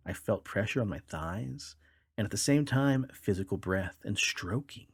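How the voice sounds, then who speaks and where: A man's voice: on the low side, lively intonation, normal speed, breathy edge, dry recording, studio quality. A man hosting a podcast, reading odd news stories aloud in a wry, tongue-in-cheek way. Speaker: podcast host.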